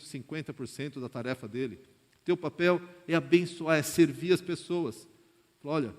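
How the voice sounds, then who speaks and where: A Brazilian man preaches in a colloquial, conversational style, slipping into short imagined exchanges to make his point. His voice sits a little higher than most men's. Long reverb from a large church hall surrounds every phrase. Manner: colloquial, direct, acts out short imagined dialogues. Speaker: pastor preaching to a congregation. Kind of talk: informal conversational sermon.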